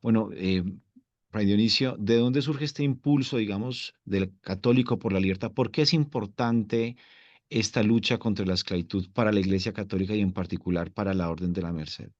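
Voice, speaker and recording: A man hosting a formal online interview, polite and courteous as he introduces his guest and poses questions. His voice sits fairly low, and the audio is dry and dull, like a lo-fi call recording. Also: normal speed, darkish tone, no room sound. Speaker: interviewer, host.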